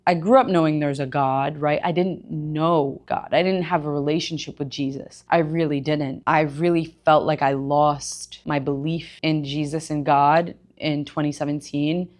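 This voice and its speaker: A woman's voice: low-pitched, steady tone, normal speed, hardly any pauses, a little mellow. A young woman from Egypt, a gym owner and personal trainer, candidly telling her life story in a conversational vlog with emphatic asides. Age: young adult.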